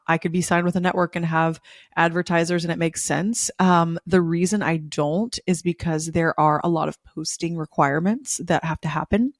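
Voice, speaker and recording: A young woman hosting a spiritual podcast, a little hoarse from losing her voice, talking in an effusive, repetitive way. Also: on the low side, no echo, clean studio sound. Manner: effusive, repeats words for emphasis. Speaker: podcast host. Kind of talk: casual spiritual podcast monologue.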